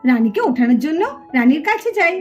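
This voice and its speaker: A woman narrates a children's doll cartoon as a storyteller. Her delivery is very quick, with faint music underneath. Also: sits high, sing-song, lo-fi sound.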